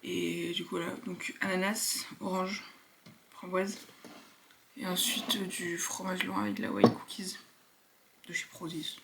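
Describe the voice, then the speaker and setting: A woman's voice: lowish voice, flat delivery, unhurried, vocal fry, pauses often, background noise. A woman in her twenties chatting casually to her viewers in a slangy vlog style. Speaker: vlogger, university student. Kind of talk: casual vlog chat to camera.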